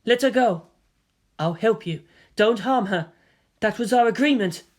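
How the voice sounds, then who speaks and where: A performer voicing a gentle, submissive teenage boy who pleads and apologises helplessly in a story reading. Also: mid-range voice, measured pace, voice pushed up, lightly acted. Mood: pleading.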